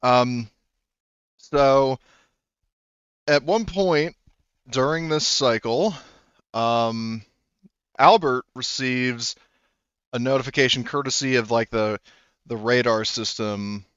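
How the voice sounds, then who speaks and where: A man acting as game master, talking in a relaxed, rambling way and laughing often. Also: mid-range voice, takes it slowly, pauses often, smooth, no echo, dull audio. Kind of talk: narrating a tabletop game.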